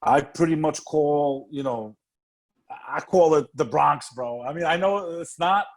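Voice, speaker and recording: A man's voice: on the high side, measured pace. A male podcast host in conversation with a guest. He talks casually and streetwise, swears freely and circles back over his own phrases as he goes. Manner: streetwise, profane, repeats phrases. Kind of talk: casual interview.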